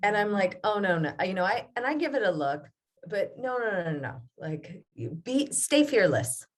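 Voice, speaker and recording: A woman's voice: mid-range voice, big pitch swings, moderate pace. A woman poetry editor speaking casually and emphatically in a panel discussion, repeating phrases for stress. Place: remote video call.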